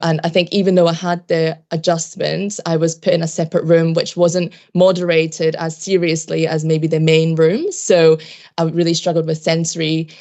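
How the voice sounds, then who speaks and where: Low-voiced reflective young woman. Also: little pitch movement, darkish tone. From Japan, raised in Scotland from age ten. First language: Japanese. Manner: reflective and measured, hedging often. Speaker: podcast guest, PhD student. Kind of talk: casual interview talk.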